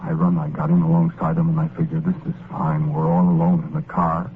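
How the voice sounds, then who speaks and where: A man's voice: a bass voice, big pitch swings, brisk, noisy background, full character voice. An actor playing a meek, wistful working-class American ghost, telling his story in rambling slang in 1940s radio drama style. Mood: earnest, puzzled. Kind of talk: 1940s radio drama first-person narration. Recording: muffled old radio recording with music. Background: music.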